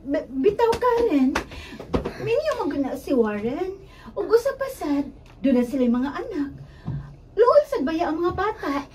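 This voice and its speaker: A woman acts out a moralizing Cebuano friend in a radio drama, pious and reproachful. The voice is high and animated. It is picked up from a distance with slight background noise. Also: measured pace, exaggerated voice. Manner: scolding, reasoning.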